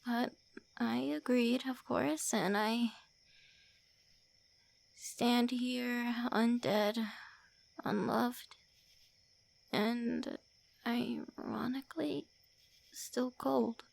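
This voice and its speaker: A centuries-old vampire woman, voiced by a woman, prickly on the surface and lonely beneath it, speaking in slow, soft, often whispered lines. Her fairly high voice carries a gravelly, creaky edge in this ASMR roleplay. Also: fairly even intonation, full character voice. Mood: wistful. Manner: tsundere, sharp then wistful.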